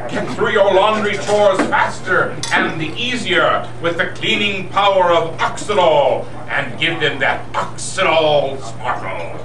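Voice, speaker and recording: A man's voice: high voice, expressive, slow pace, hardly any pauses, background noise. A man acting as sponsor announcer, reading a scripted old-time radio advertisement in a senior center hall. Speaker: sponsor announcer in a live radio-style show. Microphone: picked up from far off. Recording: echoey, distant recording with audience murmur.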